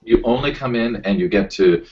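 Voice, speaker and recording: A man with a very deep, low voice talks loosely and at length as a podcast guest, self-deprecating and lively when he tells stories. The recording is slightly dull and lo-fi. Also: a little fast. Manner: rambling, self-deprecating, animated storytelling. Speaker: voice actor guest. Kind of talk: casual podcast interview.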